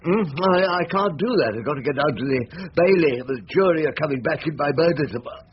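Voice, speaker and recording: A man's voice: fairly high pitch, fast talker, close to an everyday voice. Older English barrister played by a male actor, droll and grumbling, radio drama, muffled hissy recording. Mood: self-pitying. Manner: droll, world-weary.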